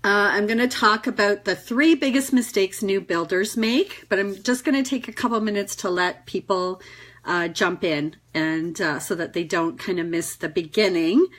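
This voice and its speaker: A woman's voice: medium pitch, moderate pace, a bit bright. A woman with a cold giving a casual, encouraging live talk to viewers, chatty and full of fillers. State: has a cold. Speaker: network marketing business trainer.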